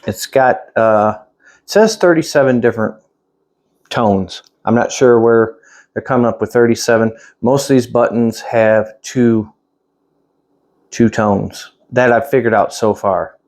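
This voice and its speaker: A man's voice: mid-range voice, unhurried, darkish tone. A man giving a casual, hands-on product demonstration indoors, thinking aloud in a plain-spoken, rambling way. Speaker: product reviewer. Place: indoors at home.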